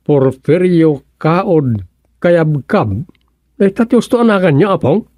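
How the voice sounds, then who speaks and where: A caring, exasperated young Ilocano fellow in a radio comedy serial. His voice sits fairly high and sounds close and dry, with an Ilocano accent. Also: expressive, takes it slowly, warm tone, no room sound. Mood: surprised, probing. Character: exasperated, caring younger man tending a senile elder. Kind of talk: Ilocano radio drama acting.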